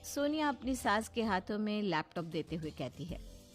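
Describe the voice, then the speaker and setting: A woman narrates a scripted story for a cartoon. Her voice has a medium pitch, and light music plays beneath it. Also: sing-song, normal speed.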